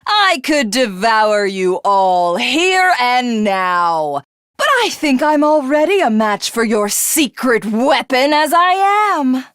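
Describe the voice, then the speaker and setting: A woman playing a megalomaniac cannibal alien villainess in a video game, haughty, menacing and theatrical, with a breathy rasp. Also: on the high side, big pitch swings, slow pace, a bit bright, no room sound, clean studio sound, exaggerated voice. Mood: condescending.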